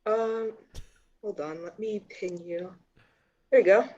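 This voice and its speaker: A woman's voice: medium pitch, fairly even intonation, slow, warm tone, highs cut off. A woman from Canada chatting casually on a practice date, giggling often and hedging what she says. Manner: giggly, hesitant, self-deprecating. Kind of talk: casual chat.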